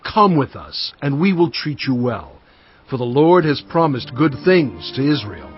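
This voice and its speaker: An actor playing an anguished, pleading prophet and leader in a dramatized audio Bible, with impassioned, declamatory delivery. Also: male voice, mid-range voice, very animated, a little slow. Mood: earnest, pleading. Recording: dull lo-fi sound with music.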